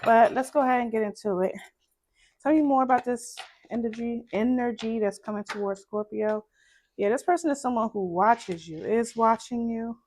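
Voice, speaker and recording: Soft-spoken woman reading tarot. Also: slight background noise. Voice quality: low voice. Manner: soft-spoken, musing, repeats phrases to herself. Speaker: tarot card reader. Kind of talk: casual tarot reading vlog.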